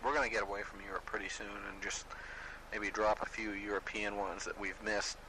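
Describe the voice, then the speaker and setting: Low-voiced man over noisy background. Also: flat delivery, brisk, bright, forceful tone. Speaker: host of a shortwave radio primer. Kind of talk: casual rambling presentation. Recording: noisy amateur recording.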